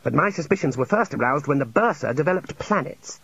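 A man's voice: fairly high pitch, lively intonation, a little fast, vocal fry, fairly bright tone, slightly rough, no echo, muffled recording, exaggerated voice. A man voicing an anxious, exasperated young student wizard in an audiobook, with an earnest, strained, insistent manner. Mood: worried, earnest.

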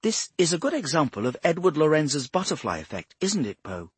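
A man's voice: fairly high pitch, big pitch swings, brisk, breathy edge, no room sound, pitched above the performer's own voice, exaggerated voice. A man voicing an earnest, brainy young woman analyst who explains things precisely and insistently in an audiobook. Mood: earnest explaining.

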